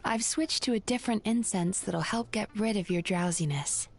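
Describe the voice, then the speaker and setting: A woman playing a gentle dream therapist in a video game, speaking softly in a soothing, careful manner. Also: mid-range voice, normal speed, natural voice. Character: gentle, attentive female dream therapist guiding a session. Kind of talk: anime-style video game voice acting. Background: game music.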